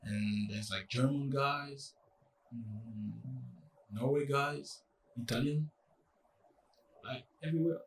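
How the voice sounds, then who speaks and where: A young man from France with a French accent, speaking English as a second language in a casual interview, halting and full of fillers. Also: medium pitch, far from the mic, a little hiss or hum. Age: young adult. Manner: halting, many fillers and restarts. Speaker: college soccer player, guest.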